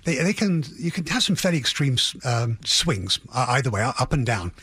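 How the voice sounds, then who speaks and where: Man, husky voice, dry close sound, expert radio interview analysis, halting and qualifying. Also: medium pitch, very animated, pushed and bright, no echo. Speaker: housing economist guest.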